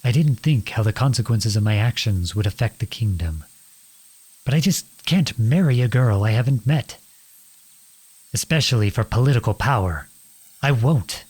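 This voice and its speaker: A man playing a defiant young outlawed prince in a dramatic audio roleplay, speaking earnestly and deliberately in a husky voice. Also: mid-range voice, a little mellow, close to an everyday voice. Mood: conflicted, disbelieving. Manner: earnest, deliberate, pleading and accusing. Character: defiant outlawed young prince confronting his cruel king father.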